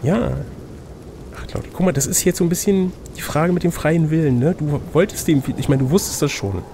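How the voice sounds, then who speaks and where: A man's voice: fairly high pitch, a little fast, warm tone, slight background noise. A man acting as reader and game master, reading an adventure gamebook aloud in a conversational way.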